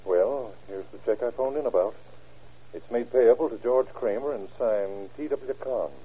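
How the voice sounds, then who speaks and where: An actor playing a polite, businesslike bank official with a formal, explanatory manner in an old radio drama. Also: male voice, medium pitch, normal speed, warm tone, husky, natural voice. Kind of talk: 1930s-40s radio crime drama acting. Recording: muffled old radio broadcast.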